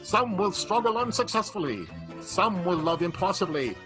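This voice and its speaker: Theatrical male comic announcer: playful, dramatic, very animated pitch, loud guitar music underneath. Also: moderate pace. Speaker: announcer and narrator. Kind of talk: theatrical comic scripted-style radio narration. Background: plucked guitar music.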